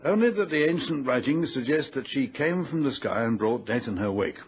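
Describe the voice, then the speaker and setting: A man plays a learned old titled gentleman of a radio drama, genteel and genial. His delivery swings widely in pitch as he explains things in formal phrases. The recording is dull, with a faint hiss. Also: expressive, normal speed, natural voice. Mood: scholarly, expansive. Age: older. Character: genial, learned old titled English explorer, fatherly and dismissive.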